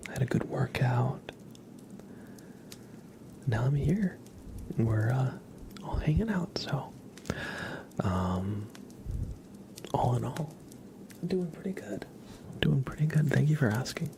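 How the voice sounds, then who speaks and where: A man hosting an ASMR livestream, chatting gently and casually in a soft, breathy voice with frequent pauses. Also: medium pitch, big pitch swings, noisy background. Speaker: livestream host.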